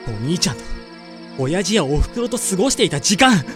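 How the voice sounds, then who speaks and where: A woman's voice: on the low side, very animated, moderate pace. A woman playing an earnest, protective young man with urgent, pleading speech in a Japanese amateur voice drama. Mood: desperate pleading. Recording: music behind the voice.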